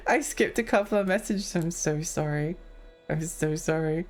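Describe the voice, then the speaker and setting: A woman's voice: deep voice, normal speed, darkish tone, soft background music. A young woman streaming and chatting with her viewers in a rambling, giggly way, her voice a little worn. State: losing her voice. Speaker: livestreamer reading chat. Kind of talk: casual chat with viewers.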